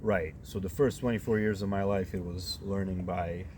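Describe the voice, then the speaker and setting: Deep-voiced man in his thirties, from Croatia. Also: moderate pace, slight background noise. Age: 30s. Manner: relaxed, says "you know" and "right?" a lot. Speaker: interviewee. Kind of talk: casual interview answers.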